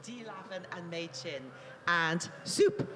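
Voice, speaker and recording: A woman with a slightly croaky voice hosting a festival cooking stage, introducing guests with gushing enthusiasm. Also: on the low side, slow, crowd noise. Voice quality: a little croaky. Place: festival stage in a large hall. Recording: reverberant hall, faint noise.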